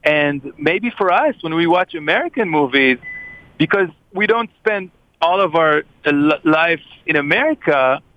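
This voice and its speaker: Male host, fairly high voice, hesitant and reflective, dull dry lo-fi recording. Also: animated, a little slow, dry recording. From Israel. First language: Hebrew. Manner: thoughtful, hesitant with repeated restarts. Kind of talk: casual discussion.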